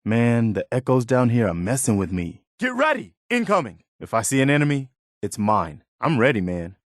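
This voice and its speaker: A man's voice: medium pitch, wildly swooping pitch, moderate pace, no echo, studio quality. A man voicing an earnest, watchful, plain-spoken militia soldier companion in a post-war video game. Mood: wary, low-key, then urgent, raised. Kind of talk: scripted game companion dialogue.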